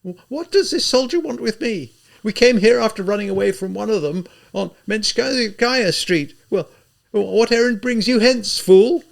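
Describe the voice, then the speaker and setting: A man putting on a shrill, frantic widow. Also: normal speed, gravelly. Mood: shrill outrage. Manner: shrill, haughty and hysterical. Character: proud, frantic, dying widowed gentlewoman.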